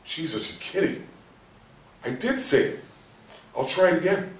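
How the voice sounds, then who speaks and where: A man's voice: on the high side, very animated, measured pace, a bit bright, exaggerated voice. A man in a staged play reading, playing a despairing, sardonic hospital patient who broods and questions himself in coarse language. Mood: excited, anxious. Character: bitter, despairing, sardonic male hospital patient trapped in his body. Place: live stage reading in a theatre room. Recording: roomy stage, distant mic, faint noise.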